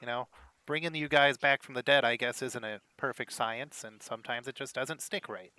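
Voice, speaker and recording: A man's voice: mid-range voice, moderate pace, bright, forceful tone, dry recording, natural voice. A man voicing a chatty, joking halfling musician. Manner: rambling, teasing, fond of jokes.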